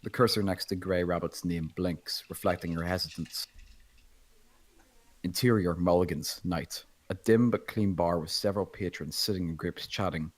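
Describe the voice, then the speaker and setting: A man with a husky voice reading screenplay stage directions as scripted narration. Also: deep voice, moderate pace, poor audio. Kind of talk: scripted narration at table read.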